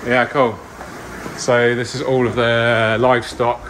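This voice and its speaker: An enthusiastic man chats his way through a shop tour, his voice sitting at an ordinary middle pitch. He sounds close on a handheld camera mic, with a steady hum of room noise underneath. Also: unhurried. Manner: enthusiastic, chatty. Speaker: aquascaping channel host. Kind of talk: casual vlog tour. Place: aquarium shop indoors.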